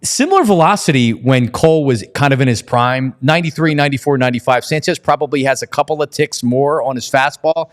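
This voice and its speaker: A man's voice: medium pitch, a little fast, hardly any pauses. A man hosting a casual sports chat in an eager way, often stammering over repeated words. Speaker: sports show host.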